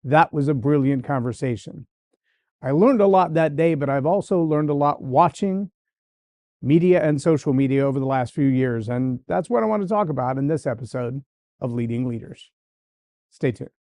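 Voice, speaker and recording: A man's voice: mid-range voice, expressive, moderate pace, darkish tone, dry recording, clean studio sound. A man hosting a leadership podcast, talking in an emphatic, list-building way. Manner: emphatic, repeats phrases in building lists. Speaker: podcast host.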